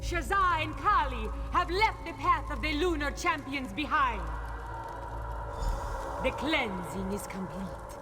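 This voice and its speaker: An actress playing a serene cat-folk priestess leading a sacred ritual in a video game, solemn, measured and commanding. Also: female voice, high-pitched, lively intonation, music in the background. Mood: solemn, soothing. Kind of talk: ceremonial video game dialogue.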